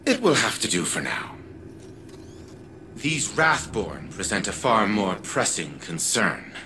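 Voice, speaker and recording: An actor playing an arrogant old scientist turned artificial mind in a video game, formal and condescending. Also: male voice, fairly low pitch, noisy background. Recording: loud music under the voice.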